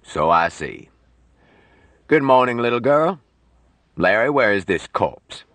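A man voicing a gruff, sardonic old doctor who gives curt orders and dry wisecracks in an audiobook. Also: medium pitch, big pitch swings, takes it slowly, fairly bright tone, no echo, voice pushed up, full character voice. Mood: bossy, sardonic.

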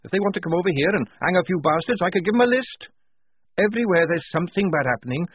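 Man voicing a furious young woman soldier, high-pitched. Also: animated, quick pace, breathy edge, dry recording. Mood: bitter. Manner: growling, forceful outbursts, coarse and swearing. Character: angry young woman soldier, defiant and bitter. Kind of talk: audiobook character acting. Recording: tinny phone-like band.

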